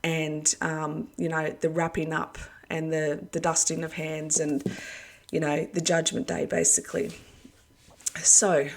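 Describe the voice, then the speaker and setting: A woman giving a casual video address to her followers as an online preacher, her voice hoarse and going, talking earnestly and rambling with many fillers. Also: low voice, monotone, unhurried, a little hiss or hum. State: losing her voice.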